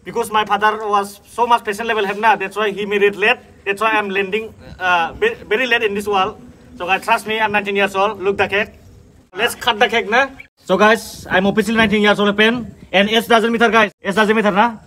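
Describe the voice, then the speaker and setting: A man's voice: sits high, level tone, fairly quick, pushed and bright, rough recording. A young man from Northeast India hosting his own birthday vlog, joking in broken English and often tagging "na?". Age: young adult. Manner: jokey, broken English, tag "na?". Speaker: vlogger, birthday host. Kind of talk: casual comedic chat. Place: open grassy field outdoors.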